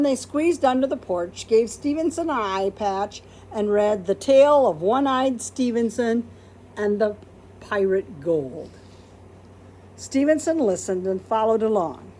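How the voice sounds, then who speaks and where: Lady reading a kids picture book, really animated and unhurried, bit of noise behind her. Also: mid-range voice. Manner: expressive, acts out quoted words, asks listeners questions. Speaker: storybook reader. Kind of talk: read-aloud of a children's picture book.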